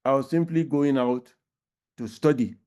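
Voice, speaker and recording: Halting older Nigerian man, smooth voice. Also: medium pitch, dark tone. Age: 80s. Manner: halting, deliberate, many pauses. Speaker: panelist. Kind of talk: online panel talk.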